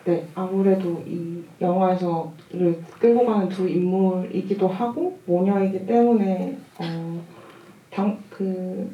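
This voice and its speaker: A woman's voice: lowish voice, takes it slowly. A woman film director answering audience questions at a screening, speaking reflectively and thinking aloud as she goes. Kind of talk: audience Q&A talk. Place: cinema hall at a festival Q&A. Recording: roomy, distant, muffled camera audio.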